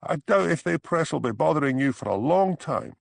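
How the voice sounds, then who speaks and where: A man voicing a gossipy Scottish village man who tells tales in an animated way, in an audiobook. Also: fairly high pitch, fairly quick, vocal fry, no echo, voice pushed up, full character voice. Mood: scornful. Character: Archie, gossipy village man, fond of a dram. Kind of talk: audiobook character dialogue.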